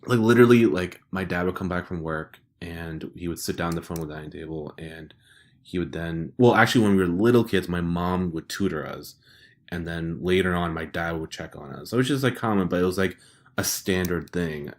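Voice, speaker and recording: A man's voice: low-pitched, fairly quick. A young man talking in a chatty, laid-back way as a podcast co-host. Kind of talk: casual chat.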